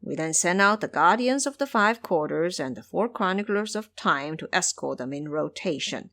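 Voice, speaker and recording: A woman's voice: lowish voice, lively intonation, normal speed, fairly bright tone. A woman voicing an indignant, stately male emperor of heaven in an audio drama.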